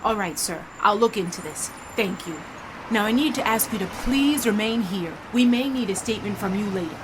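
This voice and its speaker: A woman's voice: mid-range voice, animated, brisk, background noise, lightly acted. A woman playing a firm, authoritative young rookie police officer in a dramatized history reenactment. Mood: calm, official. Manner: authoritative, commanding.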